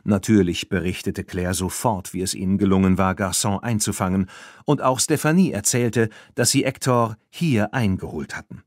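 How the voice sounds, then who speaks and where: A man narrates a children's story in a fairly low voice. His delivery is scripted and expressive, and the recording is dry and close. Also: moderate pace, no room sound. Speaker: audiobook narrator.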